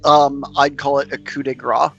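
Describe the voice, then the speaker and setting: A man's voice: medium pitch, level tone, moderate pace, creaky, fairly bright tone, muffled recording, faint music behind. A man casually advising on rules during a tabletop roleplaying game.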